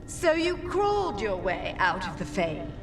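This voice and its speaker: Haughty, sneering necromancer villainess over loud music. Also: female voice, mid-range voice, sing-song, unhurried. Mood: contemptuous, gloating. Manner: sneering, theatrical, lofty formal diction. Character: haughty, scornful, power-hungry female necromancer villain. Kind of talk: video game voice acting.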